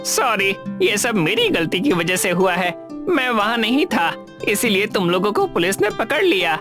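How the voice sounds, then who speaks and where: A woman voicing a friendly, generous young alien boy in an animated children's story. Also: mid-range voice, moderate pace, music in the background, lightly acted. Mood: apologetic.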